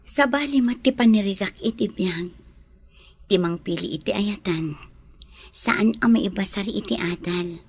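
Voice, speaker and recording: Frail, gentle young lady employer in radio drama. Husky, breathy delivery. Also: mid-range voice, a little slow, pauses often. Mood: wistful. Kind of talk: Filipino radio drama acting.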